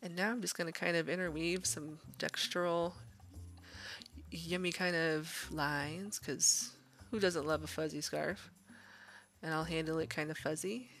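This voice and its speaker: A woman's voice: low-pitched, steady tone, unhurried, a bit bright. A woman art instructor narrating a drawing demonstration in an informal, encouraging, conversational way. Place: voice-over to a screen recording.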